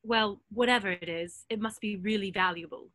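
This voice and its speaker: A woman's voice: medium pitch, moderate pace, fairly bright tone, no echo, clean studio sound, natural voice. A woman playing an earnest, concerned, inquisitive schoolgirl in a screenplay table read.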